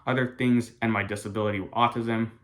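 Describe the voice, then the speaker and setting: A young American man gives a prepared speech to his class. His voice sits a little low, and he talks in an earnest, careful way, often halting and restarting mid-sentence. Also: steady tone. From United States. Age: young adult. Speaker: college student.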